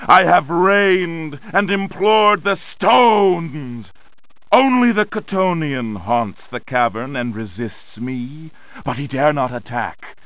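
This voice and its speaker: An actor voicing a menacing, boastful centuries-old undead pirate sorcerer in a slow, theatrical, gloating video game monologue. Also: male voice, on the high side, expressive, fairly bright tone, dry recording, full character voice, rough recording. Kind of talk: dramatic narrated villain monologue in a video game.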